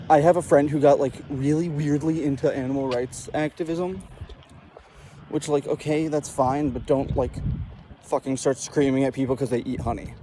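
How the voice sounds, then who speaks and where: Man, mellow tone, casual comedic storyteller, profane, animated retelling, faint background noise. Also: medium pitch.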